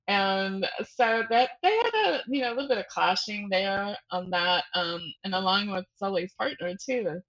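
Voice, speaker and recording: A woman's voice: fairly high pitch, fairly bright tone, dull audio. A woman speaking as a guest novelist in a casual, informal interview, often breaking off and rephrasing as she thinks aloud.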